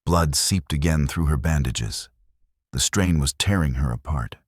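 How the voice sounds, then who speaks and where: A man's voice: extremely low pitch, measured pace, breathy edge, no echo, clean studio sound. A man narrating a dramatic Western tale as a scripted storyteller.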